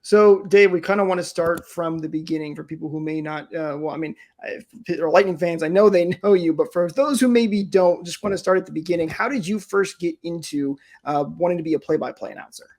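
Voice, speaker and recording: A man's voice: a little high, a little fast, darkish tone. A man hosting a podcast, introducing and questioning a guest in an upbeat, presenter-like but casual way. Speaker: podcast host, interviewer.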